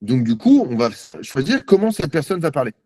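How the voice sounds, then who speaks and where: A man giving a live software demo on a video call, chatty and self-mocking, thinking aloud with many fillers. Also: fairly high pitch, sing-song, a little fast, dry recording. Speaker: guest presenter.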